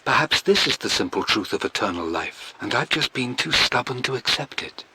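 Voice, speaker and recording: A man's voice: mid-range voice, very animated, normal speed, heavy background noise, natural voice. An actor playing a stubborn, devoted aristocratic explorer with a British accent, narrating his diary formally and theatrically in a video game. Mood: proud, reflective.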